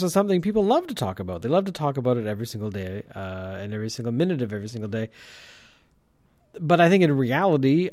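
A man's voice: on the high side, dark tone, no room sound. A man hosting his own podcast with a light lisp, talking in a rambling, casual way with many fillers and restarts. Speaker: podcast host. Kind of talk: casual solo podcast monologue.

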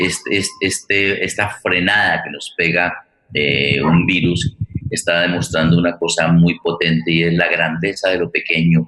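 Colombian man, low-pitched voice, reflective halting delivery, forum host, echoey room. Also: bright, forceful tone, slight background noise. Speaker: host and theater director. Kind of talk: unscripted spoken introduction to a forum. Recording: roomy, echoey sound.

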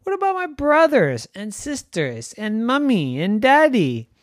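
A storyteller voicing an eager talking puppy that plays tennis, in a high excited voice that turns whiny when upset. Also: a little slow, no echo, studio quality.

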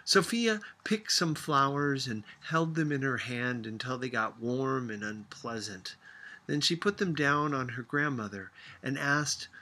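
Male reader for literary audiobook. Husky edge, bright tone, measured scripted narration. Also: medium pitch.

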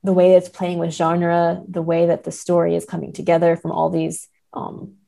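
Woman, soft dark tone, frequent fry, reflective literary analysis, measured, careful. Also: on the low side, completely flat delivery. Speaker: podcast guest, literary scholar. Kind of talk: thoughtful literary discussion.

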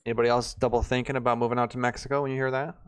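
A man in his twenties hosts a livestream in a smooth, clean voice of middling pitch. He talks casually and likes to tease, keeping things informal and conversational. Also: little pitch movement, rapid-fire. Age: 20s. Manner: teasing, informal. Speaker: show host.

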